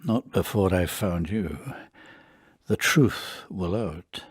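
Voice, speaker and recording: An actor playing an ageing, dogged British spymaster in a radio drama, with a dry, deliberate, sardonic delivery. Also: male voice, low voice, husky, heavily acted. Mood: brooding, determined. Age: older.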